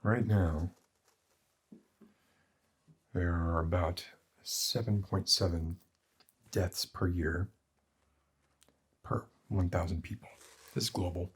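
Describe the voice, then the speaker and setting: A man playing a morbid, cynical doctor in an ASMR roleplay, lecturing in a pedantic, deliberate and bleak way with a husky voice. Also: steady tone, full character voice. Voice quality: husky, breathy, low. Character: morbid, cynical male doctor treating depression with despair.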